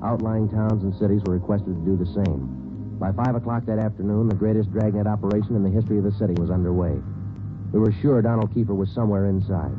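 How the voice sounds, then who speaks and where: A deadpan police detective, played by a man narrates in a very deep voice and a quick, clipped delivery. The sound is an old, tinny radio broadcast, and music sits clearly under it. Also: lightly acted. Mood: terse. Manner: clipped, deadpan and matter-of-fact. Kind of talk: 1950s radio drama narration.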